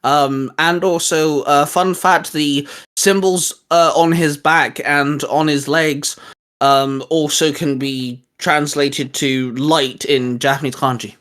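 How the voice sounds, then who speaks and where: Casual geeky man, slightly higher voice. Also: fairly even intonation, takes it slowly, fairly bright tone, clear, resonant tone. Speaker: host. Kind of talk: casual geeky chat rating video game forms.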